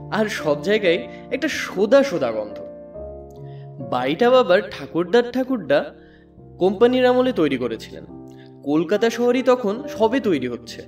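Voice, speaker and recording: A schoolboy storyteller, played by a man, narrates a ghost story with expressive, high-pitched, very varied delivery. Soft music plays behind and the mic sounds a little distant. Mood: descriptive. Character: runaway schoolboy recounting a ghostly adventure. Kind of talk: dramatic first-person story narration.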